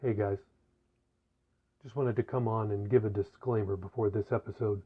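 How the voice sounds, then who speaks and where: A man's voice: lowish voice, fairly even intonation, normal speed, slightly rough. A man speaking directly to podcast listeners, giving a host's announcement in a narrow, muffled recording. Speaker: podcast host. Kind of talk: spoken disclaimer to listeners. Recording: muffled, narrow phone-like sound.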